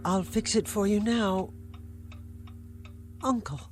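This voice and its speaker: An actress playing a quiet, submissive woman who tends an invalid, in a radio drama. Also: mid-range voice, takes it slowly, music in the background, natural voice. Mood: meek. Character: meek downtrodden woman caring for an invalid.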